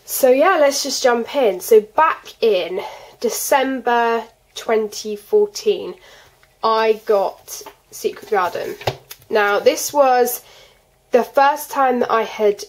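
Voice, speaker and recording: A woman's voice: on the high side, takes it slowly, poor audio. A fourteen-year-old girl with a congested, cold-affected voice, chatting casually as she hosts a hobby video. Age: 14, teenager. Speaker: colouring channel host. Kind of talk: casual chat to camera, showing artwork. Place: indoors at a desk.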